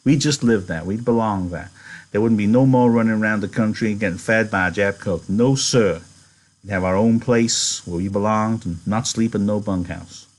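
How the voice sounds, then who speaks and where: A man's voice: on the low side, moderate pace, close to an everyday voice. Man reading as plainspoken rustic ranch worker. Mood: dreamy. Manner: folksy, rough rustic phrasing. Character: guarded, dreamy, practical male Depression-era ranch worker. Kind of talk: audiobook-style character reading.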